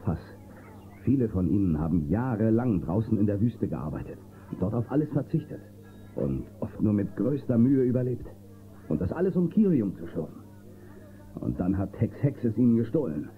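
An actor playing an upright, steady space-western marshal in a radio play, earnest and firm. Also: male voice, fairly low pitch, normal speed. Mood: worried, confiding. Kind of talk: German radio play acting. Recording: tinny, noisy old cassette copy.

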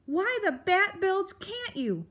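A woman's voice: very high voice, very animated, slow pace, a bit bright, voice pushed up, full character voice. A woman voicing a grumpy old male porcupine who bellows and hurls insults in a storybook reading. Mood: cranky, bellowing. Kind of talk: storybook read-aloud.

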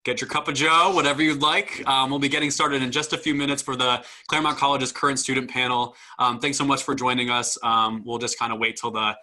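A man's voice: mid-range voice, steady tone, a little fast, few pauses, sharp tone. A young man informally welcoming people to an online panel as its admissions host, speaking over a video call. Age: young adult. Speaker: admissions dean hosting a student panel. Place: indoor room, video call. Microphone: video call microphone.

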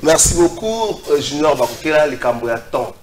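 Un homme d'une quarantaine d'années animant un débat télévisé, qui parle de façon hésitante avec beaucoup d'hésitations. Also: male voice, a little high, big pitch swings, echoey room, faint music behind, rough recording. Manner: halting, many fillers. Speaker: TV debate host. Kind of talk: TV debate moderation. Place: TV studio set.

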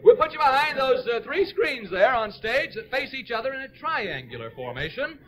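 A man's voice: very high voice, very animated, normal speed, bright, forceful tone. An American man hosting a 1940s radio game show, joking like a showman with contestants and audience. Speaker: quiz show host.

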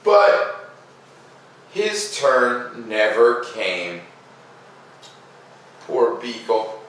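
A middle-aged man hosting a children's read-aloud, mixing expressive picture-book reading with informal, casual asides. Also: medium pitch, drawn-out words. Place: living room by a fireplace, indoors. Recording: echoey room, distant mic, faint noise.

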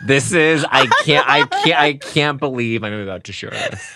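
A man's voice: medium pitch, lively intonation, a crowd in the background. Playful man hosting a podcast. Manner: playful, hyping his guest, fast cheers. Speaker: podcast host. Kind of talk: casual podcast chat.

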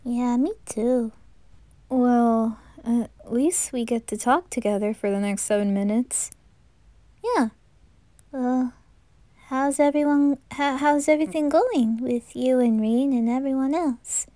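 A woman's voice: on the high side, dark tone, dry recording. A woman voicing a shy, earnest teenage singer boy with a hesitant manner in a hobby anime audio drama. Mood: hesitant, confiding. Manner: hesitant, halting.

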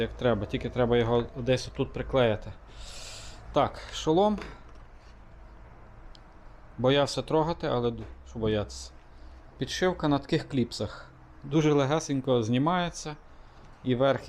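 A man giving a relaxed, casual product demonstration, thinking aloud with small hesitations. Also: mid-range voice. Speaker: motorcycle vlogger.

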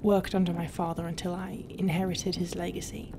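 A woman playing a proud, brooding, non-human pirate captain in a roleplay, guarded and often dropping into a half-whisper with hesitant pauses. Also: fairly low pitch, level tone, noisy background, lightly acted. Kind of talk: roleplay ASMR acting.

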